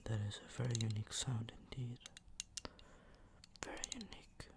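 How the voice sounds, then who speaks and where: A man speaking in a hushed murmur that slips into whispering, with a sore throat, casually showing items for ASMR. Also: medium pitch, animated, normal speed, creaky, heavy background noise. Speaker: ASMR video creator. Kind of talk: ASMR, soft casual product show-and-tell.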